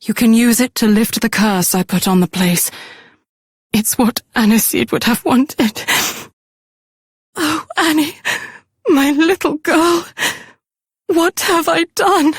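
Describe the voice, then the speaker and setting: A woman's voice: high-pitched, slow pace, pauses often, no room sound, clean studio sound. A woman voicing a proud, grumpy fairy mother in a video game, curt and disdainful. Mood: grieving. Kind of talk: video game character voice acting.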